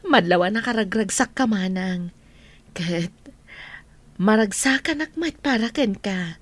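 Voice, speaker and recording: A woman playing a loyal, warm and respectful friend in an Ilocano radio drama. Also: medium pitch, animated, a little slow. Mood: supportive.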